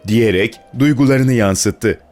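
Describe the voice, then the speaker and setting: A man's voice: mid-range voice, slow, a little mellow. A man narrating a scripted children's fairy tale as a storyteller. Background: music.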